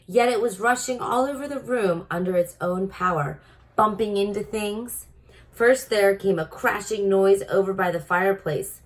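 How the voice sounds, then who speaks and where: A woman with a slightly low voice narrates a children's book. She reads in a teacherly way, as if guiding young listeners through the story. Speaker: storybook reader. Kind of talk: read-aloud narration for children.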